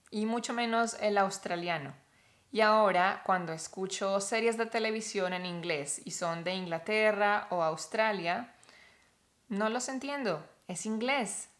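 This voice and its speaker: A Colombian woman with a Colombian accent who runs a Spanish-teaching vlog, explaining clearly and step by step to the camera. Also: mid-range voice, a bit bright.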